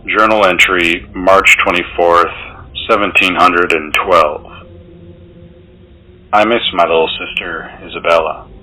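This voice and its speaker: A man performs a weary, fearful sailor from the 1700s in a horror audio drama. The voice is very low and cuts through with a hard, bright edge, delivering each journal entry slowly and gravely. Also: full character voice, rough recording. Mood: grave, homesick. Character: weary, fearful young sailor writing his 1712 journal.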